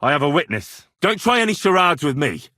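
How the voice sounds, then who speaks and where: A man voicing an earnest, bold young man in a medieval video game, with a slightly husky voice and a plain-spoken, conversational delivery. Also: fairly high pitch, sing-song, fairly quick, bright, forceful tone, dry recording, clean studio sound. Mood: angry, challenging. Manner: conversational, earnest, assertive when challenged. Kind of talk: game dialogue voice lines.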